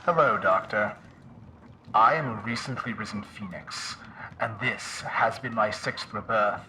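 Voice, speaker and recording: Guy doing a weary immortal phoenix, very formal and measured, intense bright tone, bit of ambient noise behind. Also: on the low side, poor audio. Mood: solemn, resolute. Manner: formal, solemn, measured phrasing. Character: newly reborn phoenix, solemn, weary and formal. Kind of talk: audio drama call-in monologue.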